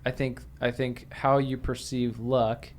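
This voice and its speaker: A man's voice: medium pitch, flat delivery, a little slow, a little hiss or hum. A man hosting a podcast interview, talking casually with frequent restarts. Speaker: podcast host. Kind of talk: conversational interview.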